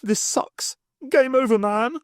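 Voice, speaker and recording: Man as a high, panicky wailing professor. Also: takes it slowly, no room sound, studio quality. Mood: despairing. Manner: panting, wailing. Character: panicky, gibbering male professor, terrified and defeatist.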